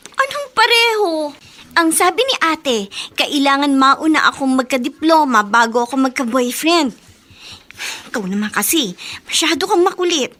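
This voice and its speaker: Very high, bright young Filipino woman. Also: animated, moderate pace. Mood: guilty, pleading. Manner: plaintive, emotional. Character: young college woman, guilty, defensive younger sister. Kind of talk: Tagalog radio drama acting.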